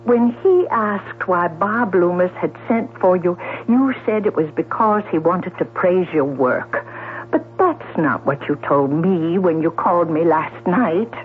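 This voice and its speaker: Earnest questioning sister; slow, expressive radio-play delivery through a thin, hissy vintage broadcast. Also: female voice, fairly low pitch. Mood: troubled insistence. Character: Mary, earnest, gentle, truth-loving housebound sister. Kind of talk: 1970s radio drama acting. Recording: tinny, noisy old radio broadcast.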